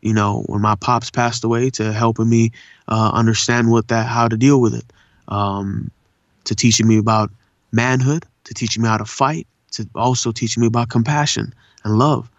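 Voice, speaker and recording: A man's voice: medium pitch, steady tone, normal speed, no room sound, lo-fi sound. A man born in India and raised in Southern California, with an urban SoCal accent, chatting earnestly as a voice actor guest and often saying "man". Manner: laid-back, earnest, says "man" a lot. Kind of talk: casual interview chat.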